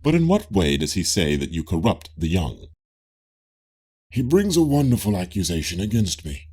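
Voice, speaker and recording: Male audiobook reader for classical texts: low, slightly rough voice, lively intonation, measured and formal. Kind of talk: scripted reading of a classical dialogue.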